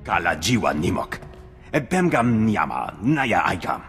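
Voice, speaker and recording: Lively low-voiced alien in invented tongue. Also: male voice, unhurried, faint music behind, exaggerated voice. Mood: animated. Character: male alien engineer babbling in an invented tongue. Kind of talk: invented alien speech for video game.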